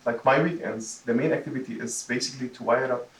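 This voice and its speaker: A man from Jordan delivers a personal speech in a roomy, echoing space. He is picked up from a distance, his voice mid-pitched, and he moves haltingly through his phrases. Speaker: club member giving a first speech. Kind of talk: prepared personal speech to a club audience. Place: meeting room, recorded from a distance. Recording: roomy echo, distant microphone.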